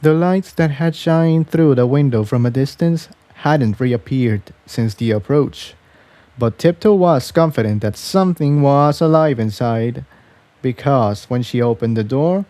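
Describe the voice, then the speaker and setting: A man narrates a story from a script in a mellow, relaxed voice. He takes his time, and the recording is close and dry. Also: medium pitch, unhurried, no room sound.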